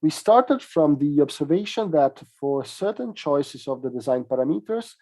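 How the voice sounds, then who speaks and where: A man giving a technical conference presentation as a researcher, explaining in a measured way with hesitant pauses mid-phrase. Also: on the high side, animated, mellow.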